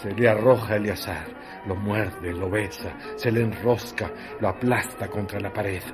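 A man narrates a radio novel in a measured, intense delivery. His voice is fairly low and he takes his time with every phrase. Loud music plays under him throughout.

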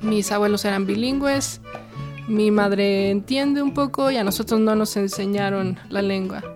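A woman's voice: medium pitch, normal speed, music in the background. A middle-aged woman with a Mexican accent talks reflectively and conversationally about herself, as in an interview. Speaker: independent publisher and illustrator. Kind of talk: personal interview narration.

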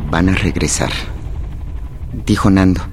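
A man acting in a radio play as a plain-spoken rural Mexican fugitive rebel, recounting his flight in a conversational, unhurried way. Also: low voice, a little hiss or hum. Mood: grave, suspenseful. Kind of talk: radio drama monologue, first-person storytelling.

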